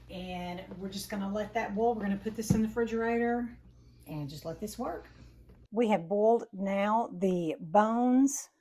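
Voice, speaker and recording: A woman, hosting a home cooking lesson with a chatty step-by-step manner; her voice is raspy from allergies and she breathes heavily. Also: mid-range voice, warm tone, a little hiss or hum. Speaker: home cooking channel host. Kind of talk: casual cooking demonstration. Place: home kitchen.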